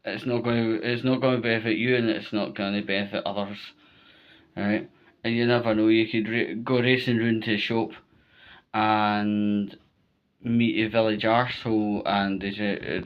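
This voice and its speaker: Low, even-pitched Scottish man, emphatic. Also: highs cut off. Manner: emphatic, repetitive, checks in with "right?". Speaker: vlogger giving storm safety advice. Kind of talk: informal spoken advice to viewers.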